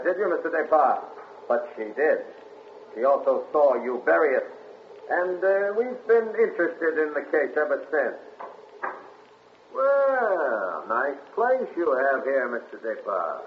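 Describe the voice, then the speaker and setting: A sly, probing police captain. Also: male voice, a little high, a little hiss or hum. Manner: drawling, sly, teasing. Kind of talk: 1940s radio acting. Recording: tinny, echoey 1940s radio broadcast.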